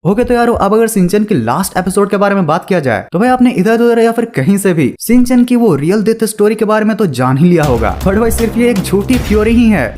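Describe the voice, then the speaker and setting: A man's voice: sits high, darkish tone. A man narrating a casual explainer video in a chummy, slangy way, addressing viewers as friends. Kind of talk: casual explainer narration mixing Hindi and English.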